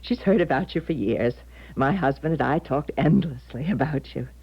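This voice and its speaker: A woman's voice: extremely low pitch, normal speed, husky, heavily acted. An actress playing a chatty, genteel middle-aged mother in a radio drama, with an effusive manner. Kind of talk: 1970s radio drama acting. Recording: dull, lo-fi 1970s radio broadcast.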